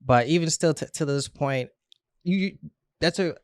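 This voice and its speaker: A man's voice: fairly high pitch, moderate pace, no echo, studio quality. A young man who grew up between Trinidad and Florida, chatting casually as a podcast guest, laughing often as he tells stories. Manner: laughs often, relaxed storytelling with restarts. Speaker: stylist guest. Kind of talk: casual interview chat.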